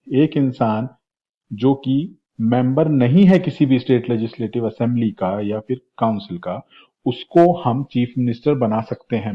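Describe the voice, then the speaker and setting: A slightly hoarse man with an Indian accent, teaching a quiz-style exam lecture and repeating questions in Hindi and English. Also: medium pitch. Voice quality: husky. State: slightly hoarse, sore throat. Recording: dull, lo-fi lecture audio.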